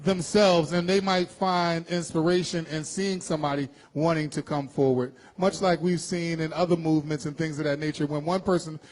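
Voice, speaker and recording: A man's voice: fairly high pitch, fairly even intonation, normal speed, hardly any pauses, rough recording. A man hosting an interview, asking long questions and affirming his guest in an encouraging way. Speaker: interview host. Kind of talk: casual interview talk.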